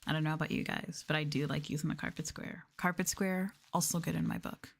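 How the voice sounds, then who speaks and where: A woman speaking softly and intimately in a casual ASMR chat, at times dropping to a whisper. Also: low-pitched, steady tone, fast, creaky. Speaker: streamer, VTuber.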